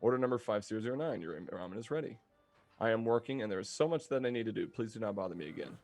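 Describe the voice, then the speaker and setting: A man reading a flat, formal robot cook. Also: fairly low pitch, very fast, faint music behind. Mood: firm. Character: polite, stiff male ramen-cooking robot.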